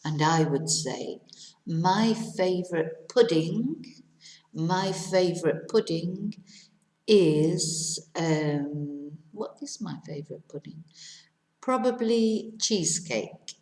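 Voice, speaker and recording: A middle-aged woman, teaching to Spanish speakers, repeating each question slowly and clearly. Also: on the low side, darkish tone, reverberant. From England. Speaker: English teacher. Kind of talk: language lesson for Spanish speakers.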